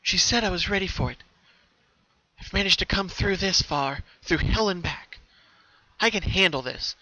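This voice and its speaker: Young female pony lead, done by a man: raised pitch, earnest, anxious yet determined, horror storybook tone. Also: animated, normal speed, bright, forceful tone, no room sound, muffled recording, heavily acted. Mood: nervous resolve. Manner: self-reassuring, earnest.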